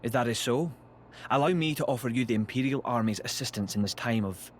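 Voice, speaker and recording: An actor playing a courteous, grave army commander in a video game cutscene, speaking in a formal, measured way. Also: male voice, mid-range voice, slight background noise, lightly acted.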